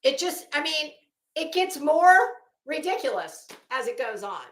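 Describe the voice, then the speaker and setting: Casting: female opinion commentator, slightly high voice, unhurried pace, emphatic and cuttingly scornful, foul-mouthed, dramatic pauses. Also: big pitch swings, roomy sound. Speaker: online commentator. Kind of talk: informal opinionated commentary on a legal dispute.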